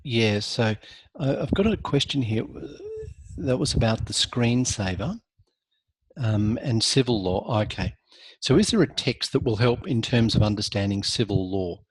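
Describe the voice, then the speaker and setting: A man lecturing on law in a recorded online class, measured, with frequent ums and self-corrections, and hoarse from losing his voice. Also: lowish voice, animated, no room sound. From Australia.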